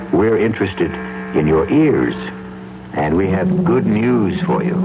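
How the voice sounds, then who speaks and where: A man hosting a radio mystery show with wry, measured, grave theatrical narration. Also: deep voice. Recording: tinny old radio with music.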